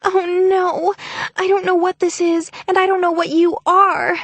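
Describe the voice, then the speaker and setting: A woman's voice: very high voice, level tone, measured pace, fairly bright tone, no room sound, voice pushed up, exaggerated voice. A woman voicing a teenage mermaid girl who fakes hysterical terror, wailing and sobbing. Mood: panicked wailing.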